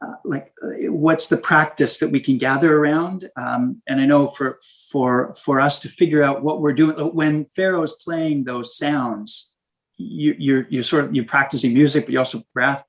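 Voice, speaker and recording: A man who is a minister and saxophonist, talking in an interview in a halting way, restarting often as he searches for words. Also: medium pitch, vocal fry. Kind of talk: casual interview conversation.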